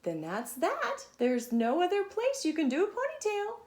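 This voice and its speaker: Woman playing high-voiced, doubtful storybook mother. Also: wildly swooping pitch, darkish tone, reverberant, full character voice. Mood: doubtful, final. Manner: questioning, deliberate. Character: patient, doubtful mother questioning her young daughter.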